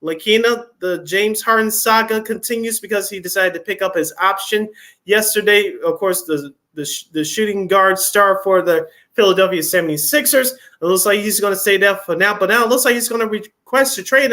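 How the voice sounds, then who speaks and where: A man co-hosts a sports radio show into a studio mic, his voice high and bright. He moves fast through lists of games and throws in wry, offhand remarks along the way. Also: lively intonation. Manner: reads schedules in quick runs, wry asides. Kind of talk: casual sports radio chat.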